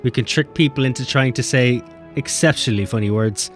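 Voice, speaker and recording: A man's voice: mid-range voice, faint music behind. A man streaming a game, chatting casually and swearing as he bickers with chat. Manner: animated, swearing, quick banter with chat.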